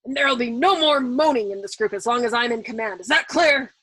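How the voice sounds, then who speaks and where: A woman's voice: a little high, animated, brisk, a bit bright, slightly rough, no echo. Woman putting on a furious, bellowing male general. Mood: stern, commanding. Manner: terse, stern, roaring.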